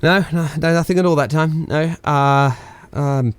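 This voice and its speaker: A man's voice: on the high side, measured pace, clear, resonant tone, dry recording, natural voice. Guy doing old time radio host, cheeky n rambly, stammers a bit, lightish voice, very dry sound. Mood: jokey. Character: jokey, rambling, self-mocking 1920s radio station announcer. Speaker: radio announcer. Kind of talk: radio play, improvised-sounding comic broadcast patter.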